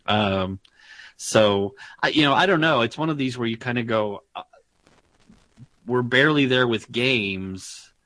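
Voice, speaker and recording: A man's voice: medium pitch, measured pace, pauses often, fairly bright tone, no echo. A man hosting a casual tech news chat, rambling with fillers and laughing often. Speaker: podcast host.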